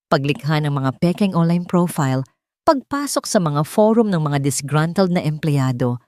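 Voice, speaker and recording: A woman with a Filipino accent narrates a scripted romantic drama as an audiobook. Her voice sits a bit low for a woman. The recording is very dry, with no room sound around her. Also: dark tone, dry recording.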